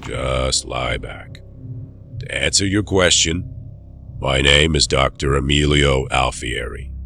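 Blunt male doctor-enforcer, audio drama, male actor: rock-bottom pitch, unhurried, firm, faint music behind. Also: fairly even intonation, creaky, sharp tone, full character voice. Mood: wry, calm.

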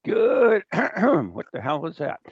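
A man's voice: high voice, huge pitch swings, slow pace, breathy edge, no echo. An American man hosting a podcast with casual, playful, teasing chat. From New England, US. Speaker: podcast host. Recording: dull lo-fi audio.

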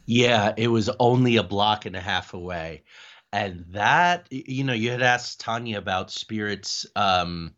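A man's voice: fairly low pitch, measured pace, fairly bright tone. A man explaining things conversationally as an interview guest and paranormal investigator, recorded in lo-fi sound.

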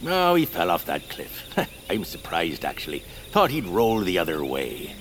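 Deep, swooping feral forest hermit. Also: male voice, huge pitch swings, a little slow, noisy background, full character voice. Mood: blithe. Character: feral male forest hermit, needy, blunt, quirky. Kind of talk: audio fiction acting.

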